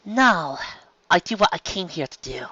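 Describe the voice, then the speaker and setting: A man's voice: sits high, sing-song, moderate pace, bright, forceful tone, slightly rough, highs cut off, voice pushed up, exaggerated voice. A man voicing a prim, proud female pony envoy, refined and fussy, putting on authority in a story reading. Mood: assertive.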